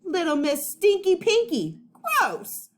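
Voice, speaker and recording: A woman's voice: very high-pitched, huge pitch swings, takes it slowly, pitched above the performer's own voice, full character voice. A woman voicing an indignant, stubborn young boy in a storybook, with an emphatic, whiny growl. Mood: angry, indignant. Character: angry, stubborn young superhero-playing boy, Eugene.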